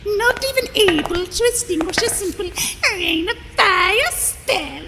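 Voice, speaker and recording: A woman playing a haughty, sharp-tongued old desert witch in an audio drama, with a cracked elderly voice and theatrical scolding delivery. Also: extremely high pitch, expressive, unhurried, full character voice. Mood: scornful. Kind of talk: fan audio drama acting. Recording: long hall reverb, clear background noise.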